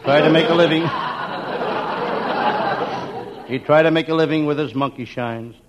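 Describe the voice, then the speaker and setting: Wisecracking hardboiled detective played by a man, slightly high male voice, deadpan 1940s radio comedy, very noisy old recording. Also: quick pace, muffled recording, close to an everyday voice. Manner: deadpan, slangy, punchline delivery. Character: wisecracking, down-on-his-luck hardboiled private detective. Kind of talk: 1940s radio comedy acting, scripted first-person narration.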